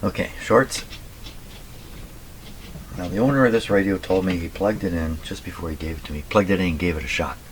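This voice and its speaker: A man with a slightly hoarse voice gives casual running commentary as he works, muttering to himself and reading things out deliberately. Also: low voice. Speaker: radio repairer and video host. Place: radio repair workshop at a bench. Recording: clearly noisy workshop recording with clicks.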